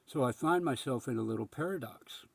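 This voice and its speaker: A man with a husky voice giving a rambling, reflective spiritual monologue in an earnest, contemplative manner with emphatic pauses. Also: mid-range voice, animated, no echo. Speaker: spiritual speaker.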